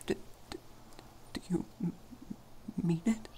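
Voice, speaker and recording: A man playing a shy, lovestruck young male vampire in an ASMR romance roleplay, stammering nervously in a soft, breathy, often whispered voice. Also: slow, natural voice. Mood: nervous, hopeful. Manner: heavily stammering, hesitant, apologetic.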